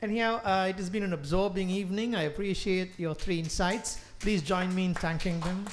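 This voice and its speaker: A woman moderating a panel event in a brisk, polite way. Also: fairly low pitch, background noise.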